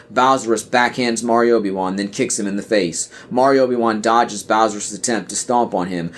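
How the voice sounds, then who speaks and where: A man reading scripted screenplay narration and scene headings for a podcast audio play. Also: fairly low pitch.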